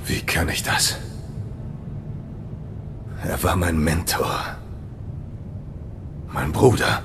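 An actor playing a grieving, brooding knight with intense delivery in a game drama. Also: male voice, extremely low pitch, extremely slow, noisy background, heavily acted. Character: grieving, brooding male knight bent on answers.

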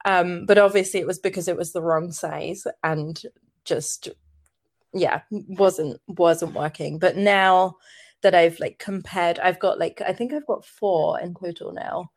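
A woman chatting casually, quick with banter and giggling often. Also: fairly low pitch. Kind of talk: casual chat.

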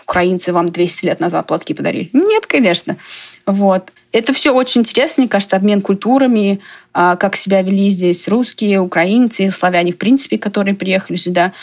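A woman from Russia talking casually in a conversation, chatty and digressive, often laughing as she talks. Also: medium pitch.

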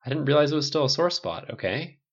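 A man voicing a dry, gently teasing male military friend. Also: a little high, big pitch swings, fast, gravelly, lo-fi sound, pitched above the performer's own voice, exaggerated voice.

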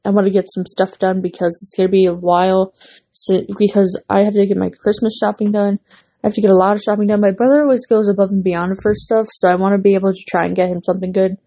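A woman's voice: mid-range voice, flat delivery, fast, dark tone. A young woman hosting a casual solo podcast, rambling with many fillers and restarts while she is losing her voice and has a runny nose. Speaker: podcast host.

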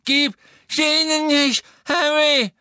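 A man voicing a brave, desperate teenage boy wizard who shouts defiantly through strain. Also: extremely high pitch, slow, no echo, clean studio sound, voice pushed up, full character voice.

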